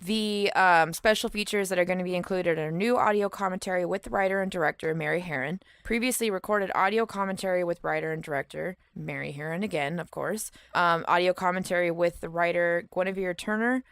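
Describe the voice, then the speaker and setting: Lower-pitched woman, dry casual podcast sound. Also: brisk, few pauses, a bit bright, smooth, no room sound. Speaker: podcast co-host. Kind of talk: casual movie chat.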